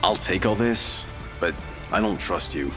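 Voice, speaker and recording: A man playing a gruff, world-weary investigator in an audio drama, terse, guarded and dry in his delivery. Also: deep voice, lively intonation, a little slow. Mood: suspicious. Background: prominent music.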